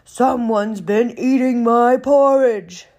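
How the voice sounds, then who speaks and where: A woman voicing a huge, indignant male Papa Bear in a children's storybook, with a big, loud delivery. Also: a little high, slow.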